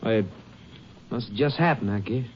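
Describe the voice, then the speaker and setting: An actor playing an edgy, evasive American motorist in a 1950s radio drama, speaking in a clipped way. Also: male voice, lowish voice, normal speed, natural voice. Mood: impatient, uneasy. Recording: muffled, noisy 1950s radio recording.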